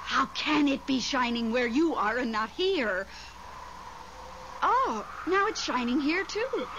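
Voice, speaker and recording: An actress playing a nervous woman confiding over the telephone in a 1970s radio drama, anxious and halting. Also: high voice, animated. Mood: startled, uneasy. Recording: dull, noisy 1970s radio broadcast recording.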